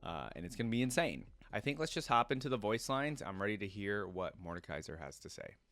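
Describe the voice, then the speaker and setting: Casting: young male reaction host, lowish voice, thinking out loud in loose, informal commentary. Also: very animated, quick pace, no room sound. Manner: informal, musing aloud.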